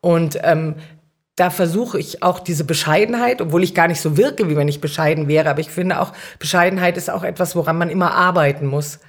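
A woman's voice: lowish voice, fairly quick. A middle-aged woman answering as an interview guest, conversational and reflective, often searching for the right words. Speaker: city councillor, podcast guest.

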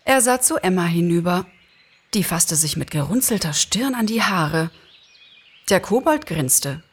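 A woman narrating a story as an expressive scripted reading. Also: on the low side, a little slow, husky.

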